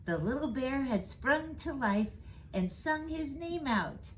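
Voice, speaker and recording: A woman reading a children's storybook aloud in an expressive storytime style. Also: high-pitched, slight background noise, poor audio. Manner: slow, expressive, rhyming read-aloud delivery. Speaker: storyteller reading as Mrs. Claus.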